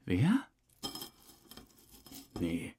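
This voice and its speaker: An actor playing a chummy, self-satisfied murder suspect who breezily dodges questions in a German crime audio drama. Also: male voice, drawn-out words, warm tone, slight background noise. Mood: evasive, chummy. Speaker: suspect under interrogation.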